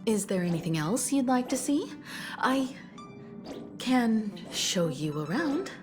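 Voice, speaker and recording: A composed, gracious woman navigator who speaks very slowly and courteously. Her pitch moves expressively. Soft game music sits behind her. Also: big pitch swings. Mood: polite. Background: game soundtrack music.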